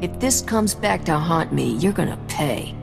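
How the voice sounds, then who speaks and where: Sassy lady smuggler, lively pitch. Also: unhurried, vocal fry. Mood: wary, tough. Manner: sardonic, tough, wisecracking. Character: sassy, mercenary female space smuggler captain. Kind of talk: video game cutscene acting. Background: loud music.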